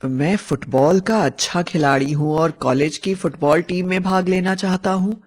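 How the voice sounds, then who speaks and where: A woman voicing a polite, cool and mysterious young male college student in an animated story, with a courteous, measured manner. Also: lowish voice, natural voice. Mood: polite, hesitant.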